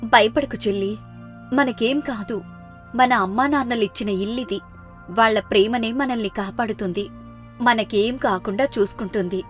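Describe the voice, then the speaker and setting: A woman's voice: fairly high pitch, normal speed, soft background music, natural voice. A woman voicing a caring, firm elder sister of thirty, soothing and confident, in a moral story. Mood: reassuring. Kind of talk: moral story character dialogue.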